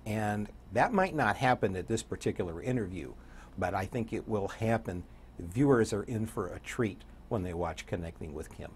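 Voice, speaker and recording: An older man around seventy, a radio DJ chatting as an interview guest in a folksy, wry way with a husky voice. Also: on the low side, expressive, normal speed, a little hiss or hum. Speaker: guest, radio program director and DJ. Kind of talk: casual interview chat. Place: TV studio set, seated.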